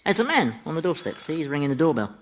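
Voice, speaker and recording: An actor playing a sly, gleefully malicious Devil in a radio comedy, urbane and teasing, with a chuckling, mock-innocent manner. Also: male voice, a little high, sing-song, fast, slight background noise. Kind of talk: radio sitcom acting.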